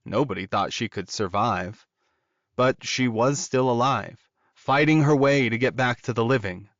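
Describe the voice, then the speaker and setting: A man's voice: lowish voice, dry recording, lo-fi sound. A man reading a dramatic story aloud as a scripted audiobook narrator.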